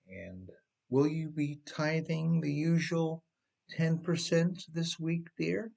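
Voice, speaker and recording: A man voicing a paternal church pastor in a read-aloud story, gently patronizing and coaxing. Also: on the high side, takes it slowly, creaky, pitched above the performer's own voice, heavily acted.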